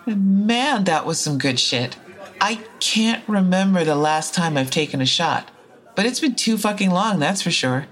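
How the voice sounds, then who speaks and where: A woman's voice: lowish voice, animated, unhurried. A woman playing a frank, foul-mouthed time traveler in an audio drama, speaking and narrating in a casual, wry way. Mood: tipsy, candid. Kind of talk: audio drama dialogue and first-person narration.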